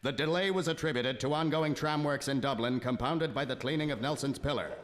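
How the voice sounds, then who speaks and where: A man giving dry, deadpan comic narration for a live radio show. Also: mid-range voice, a little fast. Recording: live radio studio with reverb and hiss.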